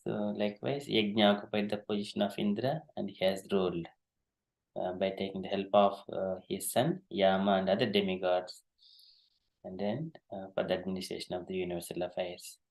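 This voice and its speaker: A man with Telugu as his leads a devotional verse class in a gentle, encouraging way. His voice sits a little low and carries a clear echo of the room. He often pauses with fillers as he explains. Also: flat delivery. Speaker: class leader.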